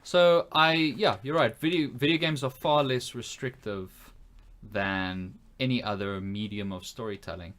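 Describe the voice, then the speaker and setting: A man's voice: medium pitch, a little slow, fairly bright tone. Man chatting on a film podcast. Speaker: podcast co-host. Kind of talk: casual film podcast discussion.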